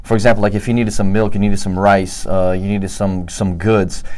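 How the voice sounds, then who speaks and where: A man's voice: on the low side, flat delivery, normal speed, a little mellow. A man from Romania, a guest telling his life story with earnest care and many fillers such as "uh" and "you know". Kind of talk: testimony interview.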